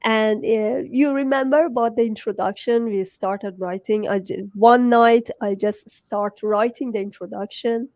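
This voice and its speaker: A woman's voice: on the high side, fairly even intonation, unhurried, hardly any pauses, darkish tone, no echo. A woman with a Persian accent, a native Farsi speaker, hosting a conversational interview. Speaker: host, book coach.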